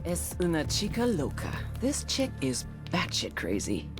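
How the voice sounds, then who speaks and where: An actress voicing a cynical, tough intelligence agent, narrating video game cutscenes in a blunt, sardonic way. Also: female voice, sits low, wildly swooping pitch, a little slow, over music, full character voice. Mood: sardonic briefing. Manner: sardonic, blunt, foul-mouthed. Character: cynical, tough female intelligence agent briefing on cartel targets.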